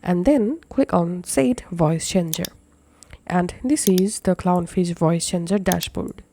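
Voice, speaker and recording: Mellow tutorial presenter, instructional tone. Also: medium pitch, slow pace, slight background noise. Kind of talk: step-by-step software tutorial narration.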